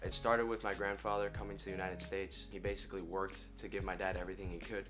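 A young college baseball player from Venezuela earnestly talking about family values. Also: male voice, low voice, little pitch movement, fast talker, over music. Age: young adult. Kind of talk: promo testimonial.

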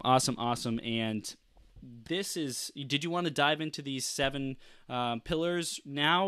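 A man hosting a video call interview, encouraging and quick to agree, circling back to rephrase his questions. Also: mid-range voice, bright, forceful tone, clean tone, no room sound, clean studio sound. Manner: encouraging, backchannels often, restarts questions. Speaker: podcast host. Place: small office indoors. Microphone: close desk mic on video call.

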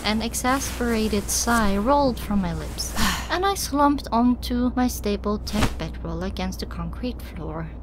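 A woman's voice: medium pitch, lively intonation, measured pace, few pauses. A woman narrating a scripted first-person story as an audiobook reader. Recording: clearly noisy background.

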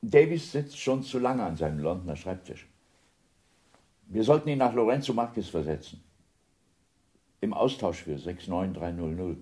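An actor playing a reserved middle-aged intelligence officer in a German radio drama, restrained, courteous and guarded. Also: male voice, deep voice, animated, normal speed, long pauses, mellow, slightly rough. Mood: uneasy, polite.

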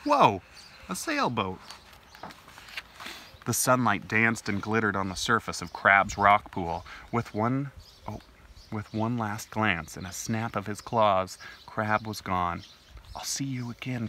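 A man in his thirties hosting storytime for young children outdoors, with an animated, gentle and expressive delivery. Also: lowish voice, slow. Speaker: library storytime host. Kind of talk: read-aloud storytelling and chat for young children. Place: outdoors in a leafy garden. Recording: outdoor background noise.

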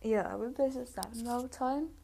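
A woman's voice: on the high side, a little fast, gravelly, dark tone, slight background noise. A woman hosting a reaction video, thinking aloud in casual, unscripted commentary.

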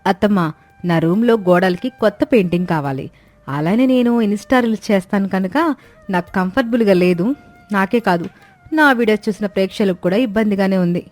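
A woman's voice: mid-range voice, a little fast, a little mellow, soft background music, lightly acted. A woman voicing a vain, demanding young daughter-in-law who speaks haughtily and drops in English words. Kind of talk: animated moral-story voice acting.

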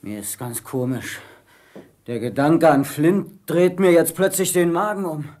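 An actor playing a superstitious, excitable and vengeful pirate mutineer in a radio play, agitated and theatrical. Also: male voice, fairly high pitch, measured pace, close to an everyday voice. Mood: frightened. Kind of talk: German radio play acting.

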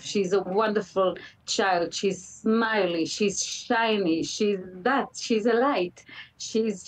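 Middle-aged Israeli woman, slightly distant mic. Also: mid-range voice. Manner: halting, earnest, repeats words for emphasis. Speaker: interview guest, mother of a hostage. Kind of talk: emotional live TV interview. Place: indoor room, live remote video link. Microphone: computer video call.

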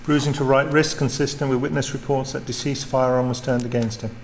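An actor playing a matter-of-fact pathologist, clinical and detached, in a public information film. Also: male voice, mid-range voice, steady tone, a little fast, close to an everyday voice. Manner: clinical, detached, chatting casually while working. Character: matter-of-fact male pathologist performing an autopsy. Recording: echoey hard-walled room, loud background noise.

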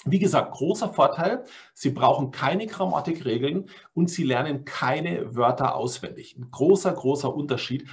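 A man's voice: on the high side, normal speed. A middle-aged German-speaking man giving an online talk over slides, explaining in a chatty way with fillers and tag questions. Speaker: language course presenter. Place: indoors, video call setup.

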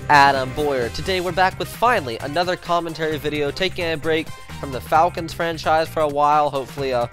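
A man's voice: a little high, brisk, a bit bright, soft background music. A young man with a head cold and a slightly nasal voice, hosting casual football commentary on his channel in a rambling way full of fillers, recorded in a small room on a headset mic. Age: young adult. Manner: rambling, self-correcting, lots of fillers. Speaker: sports channel host. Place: small room in front of a sports-print backdrop.

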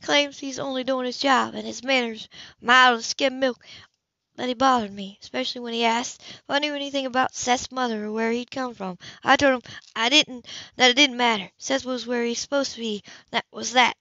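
A woman's voice: a little high, fairly even intonation, normal speed, sharp tone, dry recording, dull audio, pitched above the performer's own voice. A woman voicing a worried, caring young woman in an audiobook reading. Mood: earnest.

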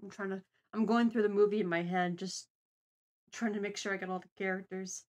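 A woman hosting a livestream, chatting casually and thinking aloud in a deliberate way. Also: mid-range voice, fairly even intonation, darkish tone. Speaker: stream host.

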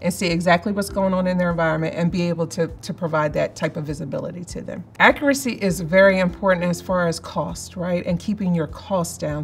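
A middle-aged woman in a corporate interview, explaining in a measured way with some fillers. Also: fairly low pitch, slight background noise. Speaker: company representative. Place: office interview set, indoors.

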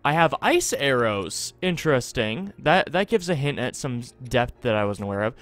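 A man's voice: mid-range voice, normal speed. A man doing casual, chatty video game commentary as host of a series. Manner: animated, chatty, self-correcting. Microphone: close desktop USB microphone.

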